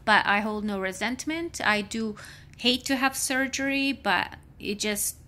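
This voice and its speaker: A woman's voice: fairly high pitch, measured pace, sharp tone. A woman in her thirties talking candidly in a personal vlog, rambling with frequent fillers; she works in healthcare. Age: 30s. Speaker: vlogger; healthcare worker.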